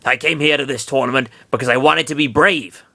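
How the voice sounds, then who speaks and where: A man's voice: medium pitch, fairly even intonation, a little fast, creaky, sharp tone, no room sound, studio quality, pitched above the performer's own voice. A man voicing a timid, small male electric-mouse creature in a comic reading of fan fiction, hesitant and stammering.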